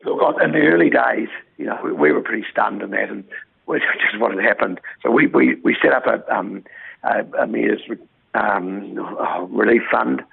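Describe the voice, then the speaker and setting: A New Zealand man speaking as a town mayor in a phone interview, with a croaky voice and an earnest, rambling way of talking that restarts words. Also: lowish voice, normal speed, dry recording. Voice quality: croaky, gravelly voice. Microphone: phone line. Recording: tinny phone line, dry.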